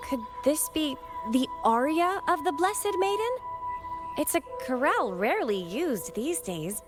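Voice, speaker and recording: High-voiced, hesitant young priestess over music. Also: female voice, big pitch swings, measured pace. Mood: thoughtful. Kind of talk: video game voice acting. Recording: loud music behind the voice. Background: loud game music.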